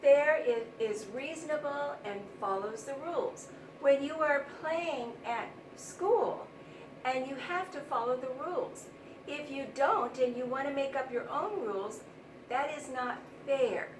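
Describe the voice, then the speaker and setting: A woman teaching young children, explaining things simply and deliberately with plenty of repetition. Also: sits high. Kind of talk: read-aloud vocabulary lesson for young children. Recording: roomy, distant mic, noisy background.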